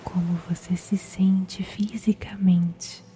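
A woman with a Brazilian accent softly whispers a guided sleep meditation in a slow, soothing, gentle manner. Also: mid-range voice, level tone, a little hiss or hum. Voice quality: breathy, whispery. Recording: music behind the voice. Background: meditation music.

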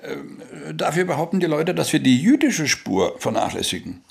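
An actor playing a cynical, bigoted Prussian official in a German radio play, lecturing in a condescending, sneering way. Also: male voice, on the high side, big pitch swings, normal speed, vocal fry, heavily acted. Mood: insinuating.

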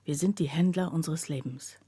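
Husky, mellow woman, an earnest worker. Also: low voice, normal speed, dry recording. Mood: somber, reflective. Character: earnest working woman recounting her factory's closure. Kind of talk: literary radio play, collective first-person narration.

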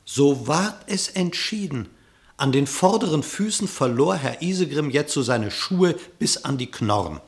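A male narrator reads a classic verse fable for a radio play. He tells it with dramatic, storytelling expression, and his pitch rises and falls widely. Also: expressive, measured pace.

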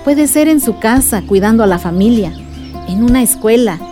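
An affectionate Mexican aunt, played by a woman, with a slightly high voice. She talks in an encouraging way in a radio drama, with music clearly heard behind her. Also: lively intonation, moderate pace, mellow, close to an everyday voice. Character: warm, encouraging aunt, easily winded.

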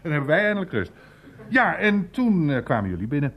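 An actor playing a blunt, nosy older uncle in a radio play, with an insistent, wry, colloquial way of talking. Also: male voice, on the high side, sing-song, a little fast, a bit bright, full character voice. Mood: chatty.

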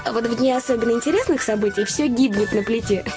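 A woman's voice: a little high, fast, music in the background, close to an everyday voice. A woman playing an earnest, chatty young innkeeper's daughter, confiding and artless, in a fairy-tale radio play.

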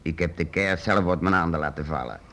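An actor playing a secretive, eccentric master painter-inventor in a radio play, hesitant and halting, then urgent. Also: male voice, deep voice, bright, forceful tone. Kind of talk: radio drama acting.

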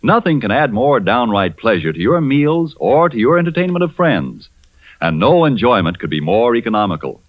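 An American male announcer delivers a polished vintage radio sales pitch. His mid-pitched voice is emphatic and lively in intonation, on a dry, slightly muffled old recording. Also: normal speed, no room sound. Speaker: commercial announcer. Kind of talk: 1940s radio advertisement, scripted sales pitch.

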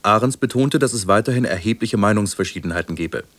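A man with a low voice reads scripted news in standard German. His delivery is formal, measured and factual. The sound is close and dry, with little room on it. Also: lively intonation, fairly bright tone, dry recording. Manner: measured, formal, matter-of-fact. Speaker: radio newsreader.

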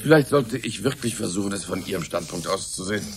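An actor in a German radio drama playing an ageing, courteous scientist, musing in a weary, resigned and hesitant way. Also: male voice, sits low, animated, fast. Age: older.

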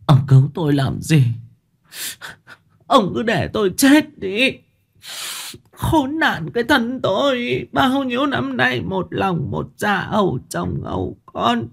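A man voicing a sharp-tongued, sulky older village wife who whines, scolds and wails. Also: extremely high pitch, very animated, a little slow, vocal fry, voice pushed up, full character voice. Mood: wailing. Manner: whining, scolding, wailing.

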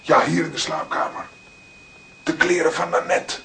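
A man's voice: a little high, flat delivery, moderate pace, gravelly, bright, forceful tone, full character voice. An actor playing an impatient, uneasy junior detective in a crime radio drama, questioning as he talks. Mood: urgent. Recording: noisy, distant from mic, clicks.

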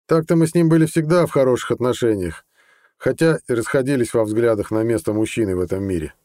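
A man's voice: medium pitch, fast talker, mellow, dry recording, studio quality, pitched above the performer's own voice, natural voice. A man voicing a blunt, ambitious army colonel, plain-spoken and earnest, in an audiobook. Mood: apologetic. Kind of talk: audiobook character dialogue.

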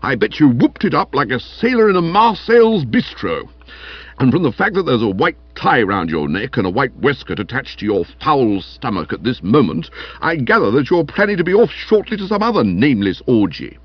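A man's voice: on the high side, wildly swooping pitch, moderate pace, dry recording, dull audio, voice pushed up. Man putting on a hectoring, pompous constable. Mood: bitter, morose. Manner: hectoring, morose, clipped pronouncements. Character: stern bullying young constable, pompous, peevish and accusing. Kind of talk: comic audiobook character voice.

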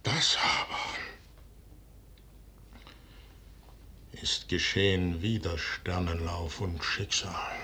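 An actor playing a proud, ambitious middle-aged general in a classical verse radio drama, declaiming imperiously. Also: male voice, sits low, fairly even intonation, a little slow, pushed and bright, a little hiss or hum, heavily acted. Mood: defiant, bitter. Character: proud, ambitious general, commanding and fatefully self-assured.

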